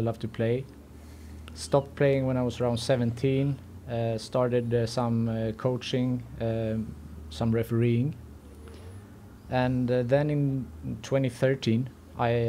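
Swedish guy on a podcast, mellow flat voice, halting, bit of background noise. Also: medium pitch. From Sweden. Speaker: podcast guest. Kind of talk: casual interview answers.

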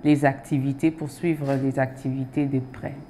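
A woman in her thirties from Germany and Switzerland, native German speaker, answering interview questions softly and hesitantly in a TV studio. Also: very deep voice, level tone, moderate pace, slight background noise. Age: 30s. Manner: soft-spoken, hesitant, brief answers. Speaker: foundation president, guest. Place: TV studio set.

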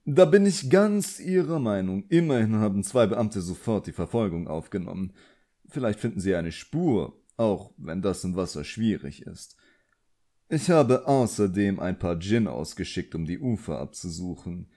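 A man's voice: fairly low pitch, lively intonation, normal speed, warm tone. A man voicing an elegant, condescending villain sorcerer in an audiobook, suave and politely commanding. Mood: smooth, authoritative. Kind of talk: audiobook character acting.

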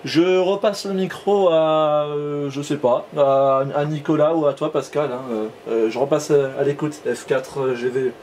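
Higher-pitched man chatting, hesitant delivery. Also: fairly even intonation, moderate pace, few pauses, background noise. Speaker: amateur radio operator, channel host. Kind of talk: casual radio contact chat.

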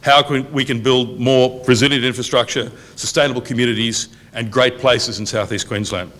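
A middle-aged man opening a university public event from a lectern in a function room, speaking in a measured, formal way and reading out long lists evenly. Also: medium pitch, steady tone, fairly bright tone. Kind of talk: formal welcome speech at a lectern. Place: university function room, indoors, medium size. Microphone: lectern microphone on a boom stand.

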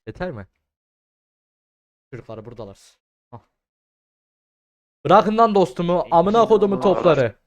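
A young man doing casual, slangy game commentary, swearing freely and repeating words in excited bursts. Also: sits high, a little slow, long pauses, highs cut off. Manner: foul-mouthed, slangy. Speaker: gaming streamer.

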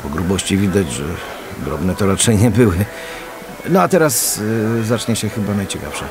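A man's voice: deep voice, sing-song, normal speed, music in the background. An actor playing a polite, earnest policeman loyal to his men, speaking formally and hesitantly in a radio drama. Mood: matter-of-fact.

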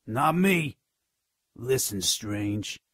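An actor voicing a scrawny, spiteful, cowardly imp with a gravelly, raspy voice in a radio drama. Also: male voice, mid-range voice, very slow, no room sound, studio quality, full character voice. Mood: grave warning. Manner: snide, resentful, excitable. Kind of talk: radio drama character acting.

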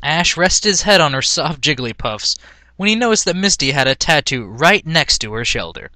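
Bright, higher-pitched man reading a story. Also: very animated, normal speed, no echo. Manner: breaks into laughter, amused. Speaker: amateur reader of fan fiction. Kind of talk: mock-dramatic story reading.

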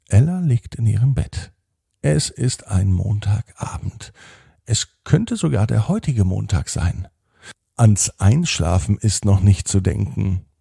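Male children's podcast storyteller: dark, creaky, husky voice, deliberate bedtime delivery, close dry sound. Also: fairly low pitch, no echo. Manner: deliberate, repeats phrases, drawn-out emphasis.

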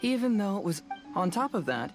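A youthful detective in a video game. He sounds composed, smooth and analytical, speaking over clearly audible music. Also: high voice, very animated, brisk. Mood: composed, inquisitive. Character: composed, inquisitive boyish young male detective.

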